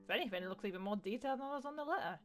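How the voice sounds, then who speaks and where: A woman with a mid-pitched voice commenting casually as she plays a game, soft music underneath. She is informal and sarcastic, and swears freely. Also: animated, rapid-fire. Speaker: gaming video host.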